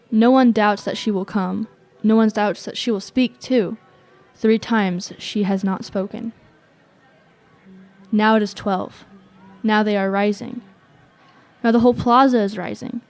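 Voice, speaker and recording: A woman's voice: mid-range voice, a little mellow, lightly acted. A young woman playing a watchful radio reporter, giving tense eyewitness commentary in short statements for an audio drama. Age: young adult.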